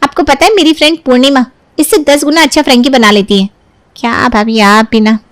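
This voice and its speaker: A high-pitched, fault-finding young sister-in-law acted by a woman storyteller. She is bossy and sugary, talking down to whoever she addresses in a story. The sound is very dry. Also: brisk, a bit bright, dry recording. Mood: smug. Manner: nagging, condescending.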